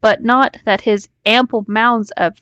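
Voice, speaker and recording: A woman's voice: a little high, fairly even intonation, measured pace, fairly bright tone, clear, resonant tone. Female co-host for casual read-aloud storytelling; leisurely, informal, cheeky, a little muffled with little echo. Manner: informal, joking, swears freely. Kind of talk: casual online story reading with banter.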